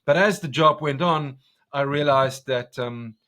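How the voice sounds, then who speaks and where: A man from South Africa with an Afrikaans accent, a commercial director talking passionately in a podcast interview, loud and full of restarts. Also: medium pitch, unhurried. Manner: intense, passionate, talks loud, many restarts.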